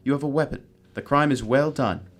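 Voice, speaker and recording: A composed gentleman detective from a radio mystery, acted by a man. The voice is mid-pitched, with deliberate, courteous authority and an analytical turn. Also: close to an everyday voice. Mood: assured, deductive. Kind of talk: radio drama acting.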